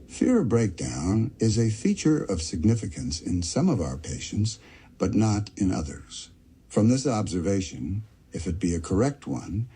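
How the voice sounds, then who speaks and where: Deep, dark-toned man reading slowly. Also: slightly rough. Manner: slow, measured reading with section headings. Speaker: reader of an essay. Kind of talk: audiobook-style reading of a psychoanalytic essay.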